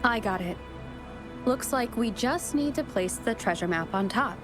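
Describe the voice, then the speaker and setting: A woman's voice: on the high side, expressive, a little slow, over music. A woman playing a composed, capable young adventurer in an anime-style video game. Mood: calm.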